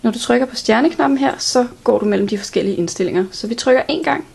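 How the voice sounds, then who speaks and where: Higher-pitched woman with creaky voice. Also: a little mellow, a little hiss or hum. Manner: plain step-by-step explaining. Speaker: product demonstrator. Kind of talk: instructional product walkthrough.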